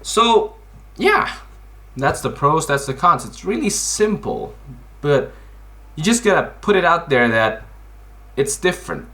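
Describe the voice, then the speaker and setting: A man hosts a casual explainer in a bright, punchy voice. His pitch moves around a great deal as he stresses his points. He is blunt and informal, posing questions and then answering them himself. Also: very animated, a little slow, long pauses. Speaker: video host.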